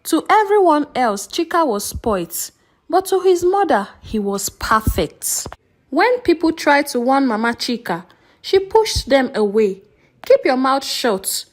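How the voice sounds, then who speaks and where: High, animated woman storyteller. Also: unhurried. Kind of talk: scripted folktale narration.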